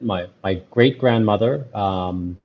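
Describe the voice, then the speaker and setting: A man with a lowish voice talks earnestly in a relaxed interview, circling back and restarting his sentences as he thinks aloud. The sound is slightly dull and lo-fi. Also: slow pace. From Australia. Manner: earnest, rambling, many restarts and fillers. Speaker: guest. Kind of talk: casual interview conversation.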